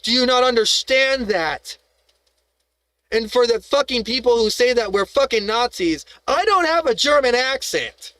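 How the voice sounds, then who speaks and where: A man with a fairly high voice hosts a live stream and talks in a heated, rambling rant. He swears freely, restarts his sentences and keeps throwing rhetorical questions at his viewers. The sound is dry and close, with no room around it. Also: lively intonation, unhurried, fairly bright tone, no room sound.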